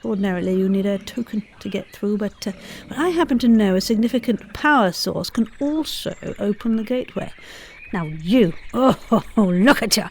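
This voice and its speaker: A woman playing a flustered, evasive yet imperious headmistress, halting and hedging in an audio comedy drama. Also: mid-range voice, expressive, a little mellow. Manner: halting, hedging, breezily authoritative.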